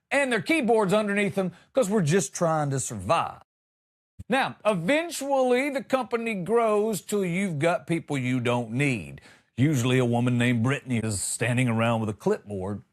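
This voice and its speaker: A man hosting a comedy show, delivering a conversational monologue with exasperated, emphatic punchlines. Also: sits high.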